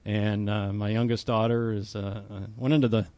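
A man's voice: on the low side, flat delivery, mellow, no room sound. A man in his sixties from Ohio, a manufacturing executive talking about himself as a guest in a casual interview. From Ohio, US Midwest. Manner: measured, self-described plain accent.

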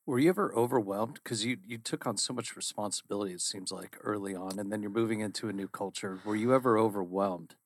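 A man's voice: on the low side, fast, few pauses, dry recording, clean studio sound. A man hosting an interview, asking his guest curious, prompting questions. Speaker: interview host.

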